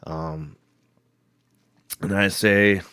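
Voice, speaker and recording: A man hosting a paranormal live stream, hoarse from a cold, rambling casually and greeting viewers by name. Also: deep voice, fairly even intonation, extremely slow, bright, forceful tone, no echo, studio quality.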